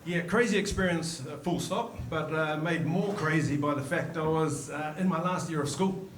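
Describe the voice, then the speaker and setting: A man in his forties with a New Zealand accent, a podcast guest recalling his career in a measured way, with frequent pauses and fillers. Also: fairly high pitch, reverberant, distant mic, a little hiss or hum. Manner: reflective, measured storytelling with many fillers. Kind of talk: podcast interview.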